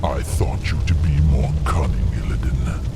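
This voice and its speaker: Deep, gravelly, arrogant demon overlord. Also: male voice, moderate pace, background noise. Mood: cold menace. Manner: pompous, threatening. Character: huge arrogant male demon overlord, wrathful and scornful. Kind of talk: fantasy video game cutscene acting.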